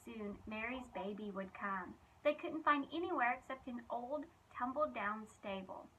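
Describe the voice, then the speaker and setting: A woman reading a children's storybook aloud in an expressive, dramatic way with emphatic phrasing. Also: fairly high pitch, unhurried, a little hiss or hum, low-quality recording. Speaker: children's ministry reader.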